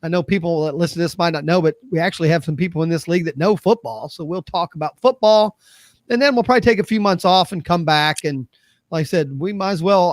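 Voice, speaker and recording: High-pitched man in his fifties, podcasting. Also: dry recording. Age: 50s. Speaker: podcast host. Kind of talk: casual chat.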